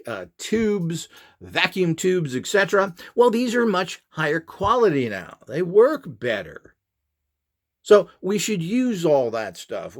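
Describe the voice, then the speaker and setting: Animated middle-aged man, higher-pitched, opinionated. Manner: opinionated, boastful, emphatic. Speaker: presenter of a how-to video series. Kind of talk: unscripted product demonstration and explanation.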